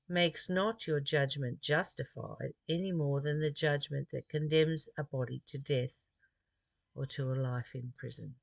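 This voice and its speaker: A speaker from Australia reading a spiritual text aloud and adding personal reflections in a deliberate, earnest manner. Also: mid-range voice, vocal fry, a bit bright, no room sound.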